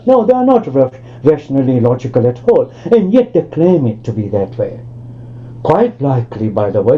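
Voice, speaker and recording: An older man from Tanzania giving an academic lecture over a video call, speaking discursively with many asides and restarts. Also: fairly high pitch. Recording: lo-fi online call audio.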